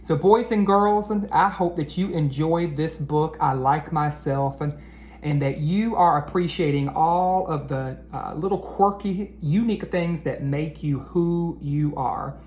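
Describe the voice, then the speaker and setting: A man reading aloud to children like an educator, with an expressive, encouraging manner that speaks straight to them. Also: a little high, measured pace. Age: adult. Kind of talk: animated children's read-aloud. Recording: roomy echo, faint background noise.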